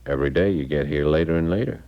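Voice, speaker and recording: An actor playing a confident young American suitor in a 1970s radio drama, direct, teasing and pressing. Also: male voice, rumbling low, fast. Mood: insistent. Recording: dull 1970s radio broadcast recording.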